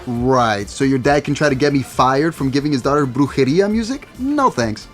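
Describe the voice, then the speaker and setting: A man's voice: mid-range voice, expressive, moderate pace, close to an everyday voice. A man playing a young record store clerk who loves goth music, with a playful, teasing way of talking. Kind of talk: audio drama acting.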